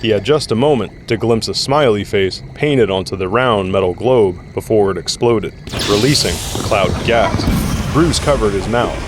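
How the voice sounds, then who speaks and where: A man's voice: lowish voice, normal speed, over music. A man reading dramatic scripted narration for an action audio drama.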